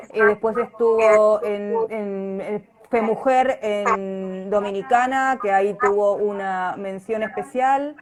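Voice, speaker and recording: An Argentine woman talks as a guest in a casual live chat. Her voice sits at a medium pitch, and she explains things conversationally. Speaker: interview guest, writer-director. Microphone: internet live video call.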